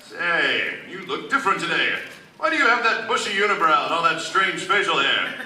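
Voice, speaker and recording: A man's voice: on the high side, big pitch swings, normal speed, sharp tone, full character voice. A man playing a cocky, easily exasperated ace newspaper reporter, theatrical and slangy, in a comic old-time radio play. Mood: indignant. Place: convention stage, recorded from the audience. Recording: distant camera, roomy hall, faint noise.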